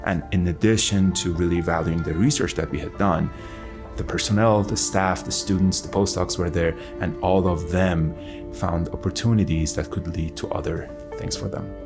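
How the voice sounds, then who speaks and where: A man's voice: low voice, steady tone, normal speed, music in the background. A man who works as a researcher and mentor, talking reflectively in an interview.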